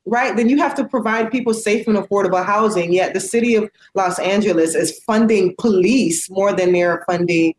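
A woman's voice: mid-range voice, normal speed, hardly any pauses, slight background noise. Outspoken woman talking in an echoey room. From United States. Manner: earnest and outspoken, with frequent fillers. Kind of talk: casual conversation.

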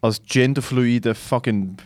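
Male podcast co-host, Swiss German accent, mid-pitched, opinionated and sweary, casual debate, dry recording. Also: slow pace, no echo. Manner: argumentative, sweary, mixes in English slang.

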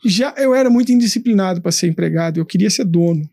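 Man, mellow dark tone, dry close sound, animated storyteller, swears freely. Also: sits high, quick pace, creaky, no echo. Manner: animated, swears, acts out his own past thoughts. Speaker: entrepreneur guest. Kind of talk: casual interview storytelling.

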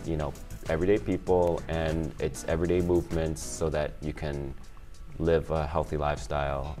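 A man, talking as an interview guest, halting with many restarts and fillers. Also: extremely low pitch, a little mellow. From Chicago, USA. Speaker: guest, finance executive. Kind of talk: casual interview conversation.

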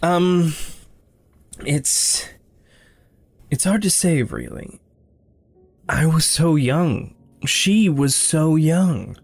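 An actor playing a gentle, guilt-ridden young man, halting and introspective, in a naturalistic audio drama. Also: fairly high pitch, lively intonation, creaky, breathy edge, no echo, exaggerated voice. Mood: halting, reluctant. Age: young adult.

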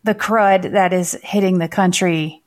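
A woman co-hosting a podcast in casual chat, her voice raspy from laryngitis, talking quickly with many fillers. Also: lowish voice, fairly even intonation, darkish tone. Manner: chatty, quick, full of fillers. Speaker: podcast co-host.

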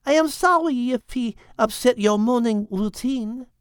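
A man voicing a gentle, caring female cat in a storybook reading, speaking softly and earnestly. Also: very high voice, smooth, no echo, studio quality, voice pushed up. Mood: concerned. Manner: soft, slow and earnest, sprinkled with French words.

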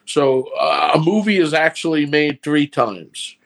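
A man's voice: on the high side, unhurried, creaky, fairly bright tone, rough recording. A man with a New York accent, a filmmaker talking casually through his process step by step. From New York City. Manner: rambling, explains step by step. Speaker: filmmaker guest. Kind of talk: casual podcast chat.

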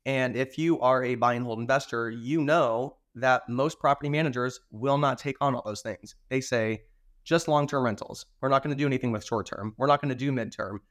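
A hoarse man, a podcast guest, confidently explaining how he runs his property management business. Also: a little fast. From Arizona. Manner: confident, explanatory, steady pitch. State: hoarse from recent illness. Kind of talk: casual interview answers.

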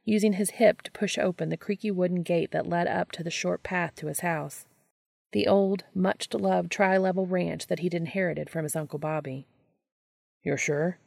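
A woman's voice: lowish voice, fairly even intonation, moderate pace, creaky, darkish tone, dry recording, clean studio sound. A woman reading fiction aloud as scripted audiobook narration. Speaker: audiobook reader of fan fiction.

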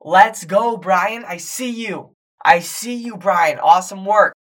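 A hoarse young man, a fitness coach and podcast host, talking energetically to viewers on a live stream as he loses his voice. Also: high voice, slow. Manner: hyped, shouting encouragement to viewers.

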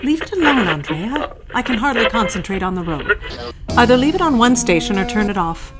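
A woman's voice: on the high side, very animated, fairly quick, loud music behind the voice. An actress playing a proud, critical middle-aged mother in a period audio drama, scolding and prying with indignation. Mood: critical, fussing.

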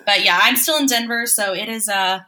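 A woman hosting a casual chat, bantering in a jokey, expressive way. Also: medium pitch, a little fast. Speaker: host.

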